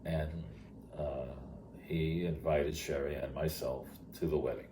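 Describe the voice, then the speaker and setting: Older male storyteller, bass-deep and plainspoken, speaking conversationally over noticeable noise. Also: steady tone, a little slow. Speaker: retired teacher telling his story. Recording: clearly noisy background.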